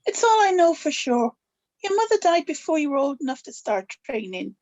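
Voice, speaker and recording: A woman's voice: high-pitched, very animated, moderate pace, darkish tone. A woman playing a stern, evasive old witch grandmother in a drama script table read. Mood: guarded, explaining.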